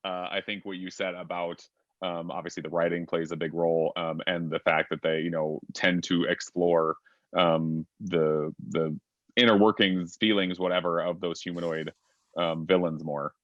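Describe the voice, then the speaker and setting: A man's voice: sits low, flat delivery, normal speed, a bit bright, dry recording. A man hosting a casual panel discussion over a video call, chatty and rambling with many fillers. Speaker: panel host. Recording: dull lo-fi video call audio.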